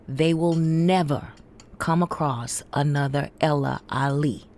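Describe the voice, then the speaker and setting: Deep-voiced, loving American mother. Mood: consoling. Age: middle-aged. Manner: affectionate, firm. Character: loving, protective, firm mother of a grown daughter. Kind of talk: audio drama acting.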